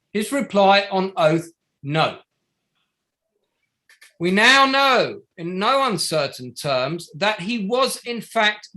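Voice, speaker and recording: An actor playing an indignant barrister in a play reading, making formal submissions to an inquiry in a deliberate, emphatic way with pauses between short phrases. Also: male voice, high-pitched, fairly bright tone, heavily acted. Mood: grave, accusing. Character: indignant male barrister addressing a public inquiry. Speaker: actor in an online table read.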